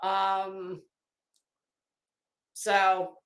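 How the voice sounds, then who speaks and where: A woman's voice: medium pitch, monotone, very slow, reverberant. A woman hosting a casual livestream, chatting to viewers in a rambling, opinionated, emphatic way. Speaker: livestream host.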